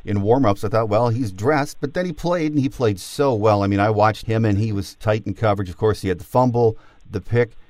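Low-voiced man hosting a sports podcast. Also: fairly quick, a little mellow, no room sound. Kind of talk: conversational sports interview.

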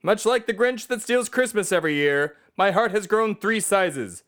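A man's voice: high voice, expressive, normal speed, fairly bright tone. A man playing America itself as a bombastic, self-important patriotic orator on a satirical comedy podcast. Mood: impassioned speechifying. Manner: pompous, declamatory oratory.

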